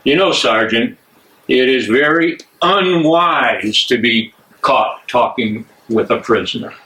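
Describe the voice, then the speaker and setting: An actor playing a scornful, menacing Nazi prison major in a play reading, barking and mocking. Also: male voice, medium pitch, animated, slow, bright, forceful tone, full character voice, poor audio. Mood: stern, angry. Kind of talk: dramatic reading of a stage play.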